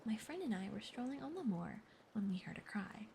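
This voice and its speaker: Woman whispering as a suave gentleman naturalist. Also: medium pitch, big pitch swings, fairly quick, vocal fry, background noise. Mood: polite, composed. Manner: soft whisper. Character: suave male naturalist, smooth and composed.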